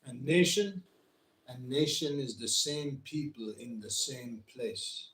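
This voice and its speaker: A man playing a mild, earnest, reasonable man in a live reading. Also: mid-range voice. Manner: calm, measured, earnest. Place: community centre hall, live event. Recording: roomy hall echo.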